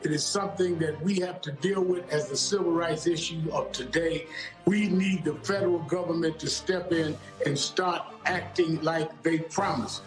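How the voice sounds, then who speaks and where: A man's voice: a little high, steady tone, normal speed, faint music behind. An American man making an impassioned political statement as an activist, emphatic and urgent, with a roomy echo. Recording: roomy, echoing sound.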